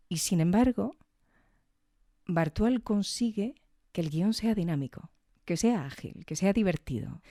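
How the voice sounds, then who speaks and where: A woman's voice: low voice, warm tone, no room sound, clean studio sound. A woman hosting a podcast, reviewing in a conversational, reflective way and often checking in with tag questions. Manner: reflective, frequently seeking agreement with tag questions. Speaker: podcast host.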